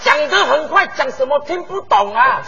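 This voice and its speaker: Male comedian doing a cocky Taiwanese-accented wise guy, very high, bright and bouncy, a bit muffled. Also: sing-song, normal speed, gravelly, a crowd in the background, background noise, full character voice. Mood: boastful. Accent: Taiwanese Mandarin, put on. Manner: nitpicking, smug, sing-song sentence endings. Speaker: crosstalk comedian. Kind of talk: stage crosstalk comedy.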